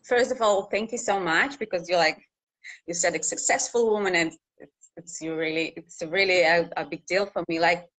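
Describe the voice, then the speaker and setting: A woman in her thirties, a news reporter from Russia, speaking English as a second language with a Russian accent, talking in a halting way with many fillers and restarts over a video call. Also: on the low side, a bit bright. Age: 30s. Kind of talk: casual interview conversation.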